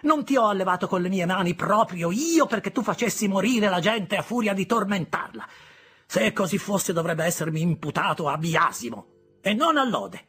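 A man voicing a harsh, sharp-tongued older sister who scolds a boy, in a shrill, exasperated way. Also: lively intonation, normal speed, slightly rough, full character voice. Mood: scolding.

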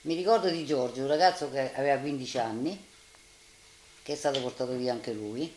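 A woman's voice: extremely low pitch, brisk, a little mellow. An older woman with a Roman accent giving spoken testimony as a witness. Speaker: witness recalling wartime. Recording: roomy, noisy archive interview.

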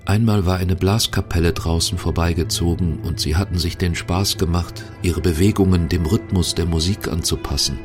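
A man's voice: low voice, steady tone, moderate pace, hardly any pauses, over music. A man narrating a German radio drama in a scripted, literary style. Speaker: second narrator.

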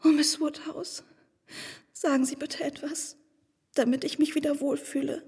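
A woman's voice: sits high, level tone, unhurried, darkish tone. A flustered timid young woman. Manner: breathless, flustered storytelling. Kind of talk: radio play acting. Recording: radio play with long reverb.

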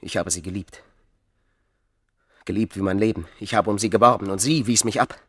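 A man's voice: fairly low pitch, fairly quick, a bit bright, husky, no echo. Bitter, lovesick man, dry close sound. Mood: bitter despair. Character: bitter, hopelessly lovesick Egyptian man, rejected and despairing. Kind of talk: radio drama acting.

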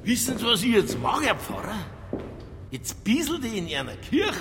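An actor playing a stubborn, provocative Bavarian police detective in a radio crime drama, earthy and argumentative. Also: male voice, very high-pitched, wildly swooping pitch, normal speed, vocal fry, background noise, exaggerated voice. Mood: defiant, ranting. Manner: earthy, argumentative, quoting scripture.

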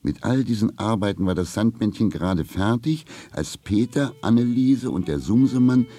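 A man's voice: lowish voice, expressive, normal speed, dark tone, dry recording. A man narrating a scripted fairy tale for children.

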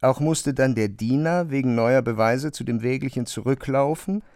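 A man's voice: mid-range voice, moderate pace, a little mellow, no echo, clean studio sound, lightly acted. A man narrating a literary radio drama as its storyteller. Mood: measured, animated. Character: male narrator of an absurd bureaucratic novel.

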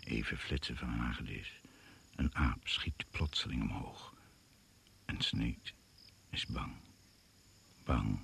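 A man narrating a radio drama gravely and ominously, in deliberate, incantatory short phrases, on an old recording. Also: rumbling low, fairly bright tone, whispered, full character voice. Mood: ominous, measured. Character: grave, ominous male narrator of a jungle tale.

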